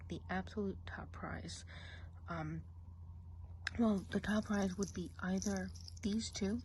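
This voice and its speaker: Gossipy witch of the woods, a woman whispering it, soft rambly chatter, gravelly mellow tone. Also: lowish voice, a little hiss or hum, lightly acted, rough recording. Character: gossipy female forest witch. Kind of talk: ASMR role play.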